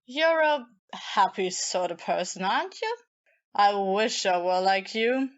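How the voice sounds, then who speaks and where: A woman's voice: medium pitch, expressive, unhurried, lo-fi sound, lightly acted. A woman in an audio play acting a bitter, drunken and volatile jilted young woman with a taunting manner. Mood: brittle, mocking.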